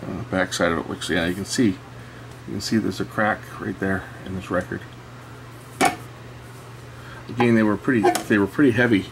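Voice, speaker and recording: A man's voice: low voice, steady tone, normal speed, vocal fry, long pauses, background noise. A man giving casual hands-on commentary as a host, rambling and thinking aloud as he goes. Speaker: host showing old records. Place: indoors at a cluttered electronics workbench.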